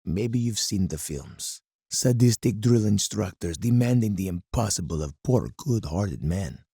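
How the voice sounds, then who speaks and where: An actor playing a hard, commanding older colonel in a strategy game, husky and gravelly, with stern, deliberate oratory. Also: male voice, on the low side, expressive, warm tone, no room sound, clean studio sound, exaggerated voice. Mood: scornful, stern. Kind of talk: dramatic scripted game character monologue.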